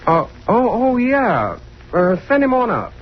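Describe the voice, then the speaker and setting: A man's voice: very high-pitched, wildly swooping pitch, unhurried, full character voice. An actor playing a genial, glib young fraternity brother in an old-time radio drama, breezy and slangy in his talk. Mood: casual, persuasive. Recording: muffled, noisy old radio recording.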